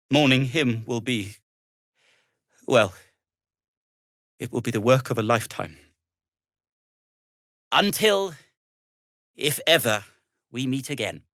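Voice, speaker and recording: An actor playing an earnest, fussy, melancholy gnome inventor in a video game, with a hesitant, wordy and old-fashioned polite way of talking. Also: male voice, mid-range voice, fairly bright tone, no echo, clean studio sound, full character voice. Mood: mournful, halting. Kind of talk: video game character dialogue.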